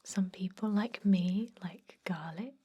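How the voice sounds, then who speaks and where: A woman playing a chatty but gently stern vampire dentist in a whispered ASMR roleplay, rambling in a confiding way with a breathy voice. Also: mid-range voice, takes it slowly, highs cut off, a little hiss or hum, lightly acted. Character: chatty long-lived female vampire dentist, garlic-obsessed, gently stern. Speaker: ASMR performer.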